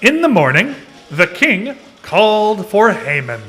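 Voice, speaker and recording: A man's voice: high-pitched, big pitch swings, unhurried, pushed and bright. A man narrating a children's puppet show in a storytelling style, recorded live in a social hall. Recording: faint noise in social hall.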